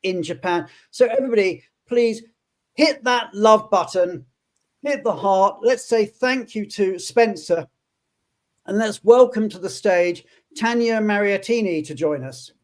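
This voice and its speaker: A man's voice: high voice, measured pace. A man hosting a live web panel, introducing guests and asking questions, often repeating words as he restarts. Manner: friendly, stammering restarts. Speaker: host.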